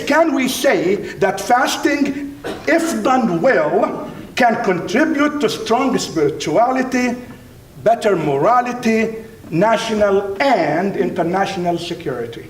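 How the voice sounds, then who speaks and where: High-pitched male imam, Arabic accent, echoey room. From Middle East, Palestinian Jordanian. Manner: emphatic, deliberate oratory with repetition and pauses. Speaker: imam and university teacher speaking at a dinner. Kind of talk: inspirational religious speech. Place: large dinner banquet room. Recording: roomy, distant mic, faint rumbling noise.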